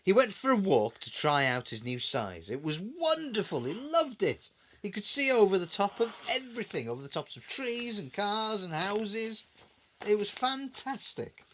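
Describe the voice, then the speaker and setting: Animated dad telling a bedtime story. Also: male voice, high voice, normal speed, slight background noise. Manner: conversational, with questioning asides and dramatic repetition. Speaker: father reading a bedtime story. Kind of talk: storytelling to a young child.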